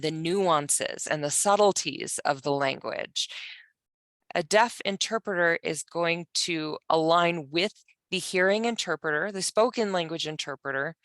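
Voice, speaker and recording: A woman interpreting for a panelist, speaking in first person in a measured, halting way with pauses. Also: lowish voice, a bit bright, dry recording. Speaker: sign language voice interpreter for a panelist.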